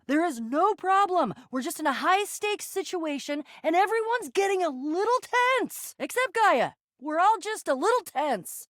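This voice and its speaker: A woman's voice: very high voice, no echo, studio quality. A young American woman voicing a bossy, sarcastic, theatrical and easily flustered female adventurer in a video game. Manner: theatrical, exasperated, quick to swing between bravado and fluster.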